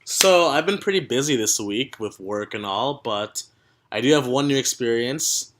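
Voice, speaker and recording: Young man, podcast host, casual chat, teasing, rambling, mid-pitched voice. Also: animated, moderate pace, a bit bright.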